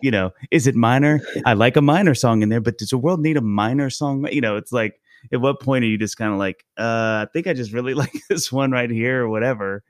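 A man's voice: medium pitch, a little fast, hardly any pauses, no room sound, studio quality. A man hosting a podcast, musing aloud in a playful, self-deprecating way. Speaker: podcast host. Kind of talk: casual podcast chat.

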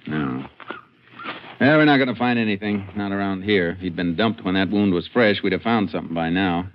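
An actor playing a calm, shrewd Texas Ranger in a 1950s western radio drama, terse and matter-of-fact. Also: male voice, low voice, fairly quick, lightly acted. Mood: calm, analytical. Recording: muffled, hissy old radio recording.

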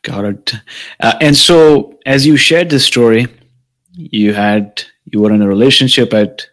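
Host: adult man, mid-range pitch, earnest and upbeat interviewer who warmly invites his guest. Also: unhurried. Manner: earnest and enthusiastic, with light laughs. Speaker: podcast host. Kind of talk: conversational interview hosting.

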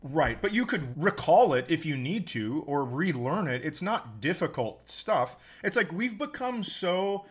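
A man's voice: a little high, sing-song, a little slow, hardly any pauses, husky. A middle-aged American man telling stories casually on a comedy podcast, exasperated and freely swearing. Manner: exasperated, profane ranting. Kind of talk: casual comedic storytelling chat.

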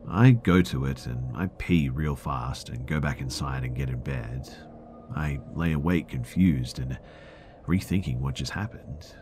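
A man reading a first-person horror story aloud in a hushed, drawn-out dramatic delivery, dropping into a whisper at times. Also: very deep voice, a little hiss or hum. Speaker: narrator. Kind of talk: scripted horror story narration.

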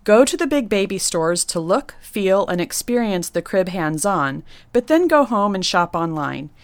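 A woman who designs nurseries, reading a prepared segment of tips in a clear, instructive way. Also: medium pitch, very animated, unhurried, no room sound. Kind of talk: scripted segment read.

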